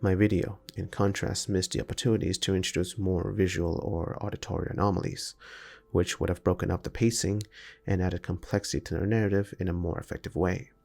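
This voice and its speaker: A man's voice: low voice, steady tone, a little fast, vocal fry, darkish tone. A man reading a scripted analytical video essay in a formal, academic manner. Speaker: video essay narrator and amateur filmmaker.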